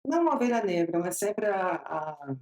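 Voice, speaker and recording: A woman's voice: on the low side, sing-song, a little mellow. A woman in her fifties from Bahia, Brazil, with a Bahian accent, telling her story as an interview guest, chatty and laughing often. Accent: Bahian Brazilian Portuguese. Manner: chatty, laughs often, drawn-out slow phrases.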